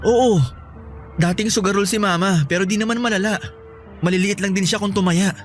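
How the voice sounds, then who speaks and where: A man's voice: high voice, steady tone, brisk, faint music behind, lightly acted. A man with a Filipino accent playing a worried, earnest young boyfriend and dutiful son in radio drama dialogue. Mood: worried, pensive.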